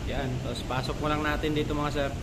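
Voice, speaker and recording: A man's voice: on the high side, flat delivery, fast, noisy background. A man with a Filipino accent chatting casually as a motorcycle vlogger, explaining things conversationally to his viewers and often repeating himself. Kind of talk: casual vlog chat and DIY walkthrough.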